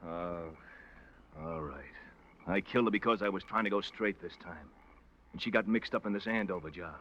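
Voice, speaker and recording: An actor playing a bitter, cornered ex-convict and wife killer, halting and rough in speech, in a 1950s radio crime drama. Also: male voice, sits low, fairly bright tone, a little hiss or hum. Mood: bitter resignation. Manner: halting, rough colloquial grammar. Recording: muffled vintage radio recording.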